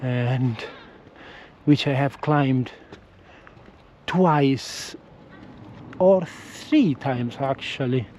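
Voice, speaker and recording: Slow hesitant Italian-accented man outdoors. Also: medium pitch, a little mellow, slight background noise. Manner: hesitant, frequent restarts and self-corrections. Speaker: vlogger. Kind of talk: casual walking vlog. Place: outdoors, walking in wind. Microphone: action camera with windscreen.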